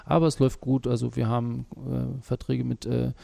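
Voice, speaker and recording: A man's voice: medium pitch, level tone, a little fast, creaky, warm tone. A man as the interview guest, a small business owner, talking through his work in a hesitant way with many fillers. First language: German. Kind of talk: conversational interview.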